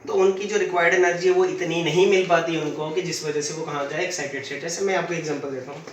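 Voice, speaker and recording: Hoarse man teaching physics, high voice. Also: fairly even intonation, quick pace, roomy sound, recorded from a distance, a little hiss or hum. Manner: asks questions and answers them himself; uses everyday stories as examples. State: sore throat. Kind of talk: classroom lecture.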